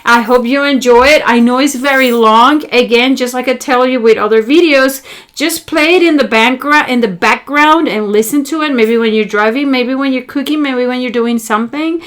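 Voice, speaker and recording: A woman hosts a casual how-to video and speaks warmly and directly to the people watching. Her voice sits a bit high for a woman, and she explains ideas in plain, simple terms. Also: moderate pace. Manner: warm, encouraging, talks directly to viewers. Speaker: channel host. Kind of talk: casual how-to vlog chat.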